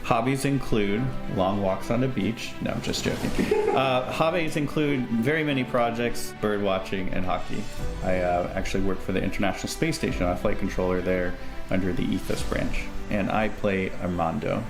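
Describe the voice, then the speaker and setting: Male player, lowish voice, casual joking introduction, echoey room, strong music bed. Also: moderate pace. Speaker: player in a tabletop game show. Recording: roomy echo under prominent music.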